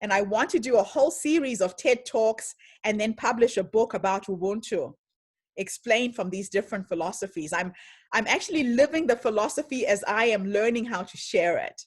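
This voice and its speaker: A woman's voice: medium pitch, normal speed, husky. A woman giving an online lecture and answering questions in an enthusiastic, storytelling manner over a video call from her home. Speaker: speaker.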